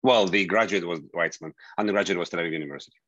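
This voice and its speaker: A man's voice: low-pitched, fairly bright tone, no room sound. A middle-aged man from Georgia, a scientist guest answering interview questions over a video call, often restarting phrases as he looks for words. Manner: halting, restarts phrases while searching for words. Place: home office with bookshelves. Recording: dull, dry video call audio.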